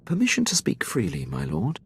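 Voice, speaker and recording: An actor playing a dutiful Imperial military officer in a video game, speaking with precise, deferential formality. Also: male voice, deep voice, huge pitch swings, a little fast, slightly rough, full character voice. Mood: restrained grievance. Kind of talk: scripted video game dialogue.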